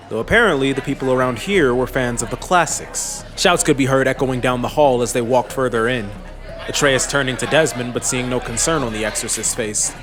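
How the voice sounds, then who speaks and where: A male narrator reads a fantasy audiobook in a medium-pitched voice. The scripted narration sits over faint music.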